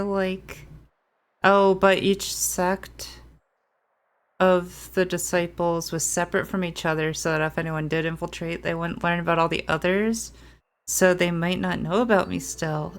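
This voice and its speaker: Woman streamer, casual game commentary, unhurried pace, hesitant, rambling, thinking aloud, faint music behind. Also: mid-range voice. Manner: hesitant, rambling, self-correcting.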